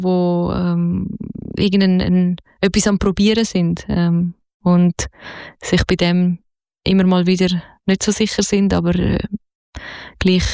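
A young woman with a Zurich Swiss German accent, talking in a low, mellow voice that stays fairly flat. She searches for words with plenty of fillers and restarts. The recording is dry and close. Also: gravelly, dry recording. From Zurich, Switzerland. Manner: hesitant, many fillers and restarts. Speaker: singer-songwriter guest. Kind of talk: casual interview.

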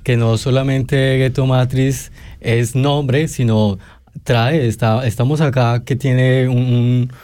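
A man interviewing guests in a casual style, halting and repeating words as he searches for the next one. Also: medium pitch, fairly even intonation, warm tone, clear, resonant tone. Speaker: radio interviewer.

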